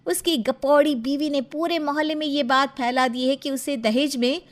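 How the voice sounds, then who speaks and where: A woman's voice: high-pitched, fairly even intonation, normal speed. A woman narrating a playful cartoon story in a conversational way, addressing listeners directly.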